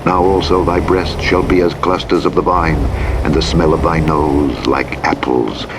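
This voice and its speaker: A man narrating the Bible in a measured, solemn scripted reading. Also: very deep voice, fairly even intonation. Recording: clear vehicle and road noise throughout. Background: truck engine and road sounds from a driving game.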